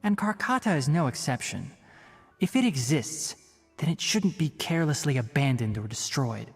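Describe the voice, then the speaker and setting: A man's voice: on the high side, expressive, moderate pace, slightly rough, big-room sound, faint music behind. A man voicing a young forest ranger scholar in a video game, stern and principled, with a dry, precise lecturing manner. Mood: exasperated, earnest. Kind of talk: video game character acting.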